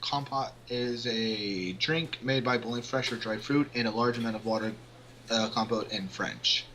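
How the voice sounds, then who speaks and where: A man's voice: mid-range voice, fairly even intonation, normal speed, noisy background. A man co-hosting a game playthrough, chatting casually and reading text aloud. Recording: music clearly behind the voice.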